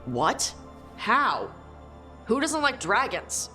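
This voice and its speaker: An actress playing a blunt, enthusiastic young adventurer in a fantasy video game. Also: female voice, on the high side, big pitch swings, very slow, bright, forceful tone, soft background music, full character voice. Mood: blunt, incredulous.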